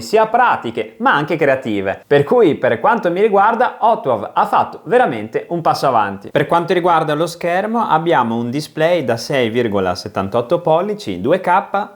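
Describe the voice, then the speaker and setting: Enthusiastic man with a very lively pitch. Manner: enthusiastic, emphatic. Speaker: tech reviewer and presenter. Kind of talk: enthusiastic product review narration.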